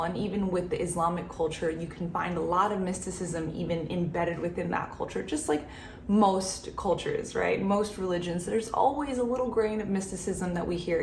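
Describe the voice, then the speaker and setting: A woman's voice: lowish voice, vocal fry, roomy sound, a little hiss or hum. A woman in her thirties, talking earnestly and reflectively to camera about her spiritual practice, with some fillers and restarts. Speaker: spiritual channel host. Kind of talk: personal talk to camera.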